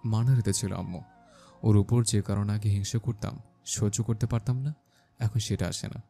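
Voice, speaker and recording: A man's voice: lowish voice, steady tone, moderate pace, creaky, dark tone, soft background music. A man playing a bossy but caring young husband in an audio story, with a firm manner. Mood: frank, firm. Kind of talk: Bengali audio story acting.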